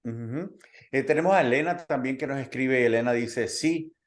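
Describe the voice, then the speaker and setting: A chatty male host trades personal anecdotes in a relaxed conversational way, with plenty of fillers and a middle-pitched voice. He grew up between Cuba and Puerto Rico. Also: normal speed. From Cuba, raised in Puerto Rico. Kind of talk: conversational interview.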